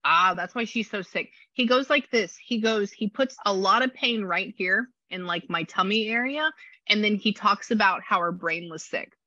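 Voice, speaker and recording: A woman with a low voice and a slight rasp, chatting through a spirit reading and passing on messages. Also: normal speed, bright, forceful tone, no echo, dull audio. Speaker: psychic medium.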